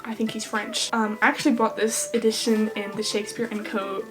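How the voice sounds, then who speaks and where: A young woman from Ireland with an Irish accent that drifts toward English, chatting casually and recommending books as a vlogger, with quick asides and self-deprecating jokes. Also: mid-range voice, little pitch movement, low-quality recording. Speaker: book vlogger. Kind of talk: casual book recommendations to camera. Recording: music playing underneath.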